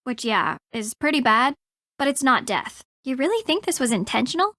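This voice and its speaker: A woman's voice: high voice, sing-song, normal speed, sharp tone, no room sound, studio quality, full character voice. An actress playing a prickly, skeptical young woman in a video game, with a curt, sarcastic delivery. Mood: skeptical, dry.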